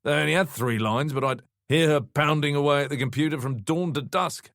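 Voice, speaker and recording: A man's voice: a little high, brisk, fairly bright tone, dry recording, clean studio sound, voice pushed up. A man voicing a brash, raffish rich man in his fifties, breezy, boastful and bluntly scornful, in an audiobook story. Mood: amused scorn.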